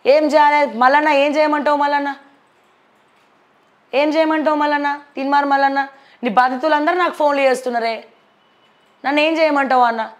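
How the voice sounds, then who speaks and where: A woman's voice: sits high, fairly even intonation, fairly quick, long pauses. A woman news presenter with a Telangana accent, reviewing the papers and commenting on politics in a conversational, blunt, questioning way. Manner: blunt, questioning, addresses people directly.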